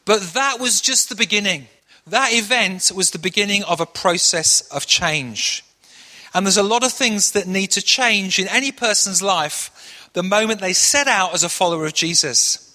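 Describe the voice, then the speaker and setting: A middle-aged man from Manchester preaching to his church in a high, forceful voice with a sharp edge. He tells stories earnestly and eases into quiet prayer. The sound rings with a long reverb off a big hall. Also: lively intonation, normal speed. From Manchester, England. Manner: earnest storytelling preacher, shifts into hushed prayer. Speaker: pastor. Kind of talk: sermon.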